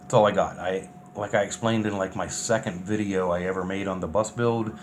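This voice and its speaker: A man's voice: low-pitched, fairly even intonation, fairly quick. Male vlogger, unscripted and offhand, everyday plain talk, faint background noise. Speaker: vlogger documenting a bus build. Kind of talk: casual unscripted vlog chat.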